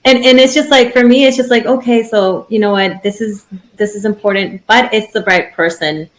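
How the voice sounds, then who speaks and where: A woman's voice: mid-range voice, fairly even intonation, moderate pace, poor audio. A woman in her thirties co-hosting a podcast, talking in a rambling, casual way with many restarts. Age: 30s. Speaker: podcast co-host. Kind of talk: casual chat.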